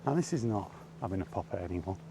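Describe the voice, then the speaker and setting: A man's voice: low voice, lively intonation, fast talker, noisy background. A man and gaming vlogger giving a blunt, emphatic opinion rant while walking outdoors by allotments.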